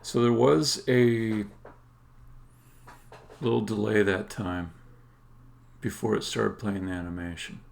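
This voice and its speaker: A man's voice: lowish voice, fairly even intonation, slow pace, gravelly, pauses often, a little mellow. A man casually thinking aloud as he live-codes a game, muttering to himself in short fragments. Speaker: game developer streaming live coding. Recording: background keyboard typing and clicking noise. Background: computer keyboard typing, mouse clicks.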